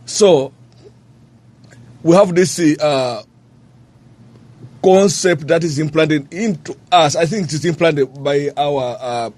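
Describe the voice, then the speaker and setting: A man speaking as a guest in a casual panel discussion, hesitant and filling his pauses with fillers. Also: a little high, a little mellow.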